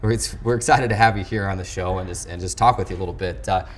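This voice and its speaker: A male sports show host with a very low voice conducts a conversational interview. He phrases things in a wordy, halting way, repeating words, with faint noise in the studio behind him. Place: television studio.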